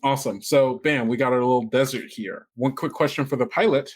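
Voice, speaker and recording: A man's voice: medium pitch, steady tone, fairly quick. A man casually talking players through a tabletop game as the game master and host.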